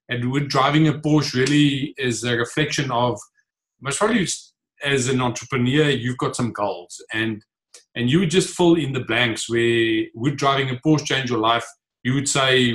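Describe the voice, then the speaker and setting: A man's voice: mid-range voice, fairly bright tone, poor audio. A man in his forties from the Free State with a South African accent, Afrikaans his first language, answering interview questions earnestly from a home office. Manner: earnest, gestures while talking, frequent fillers. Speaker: guest, author and entrepreneur. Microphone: video call.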